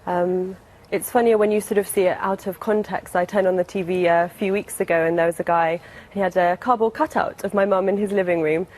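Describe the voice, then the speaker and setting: A woman's voice: fairly low pitch, steady tone, a little fast, a little mellow, low-quality recording. A woman speaking as an interview guest, casual and understated, a little hesitant.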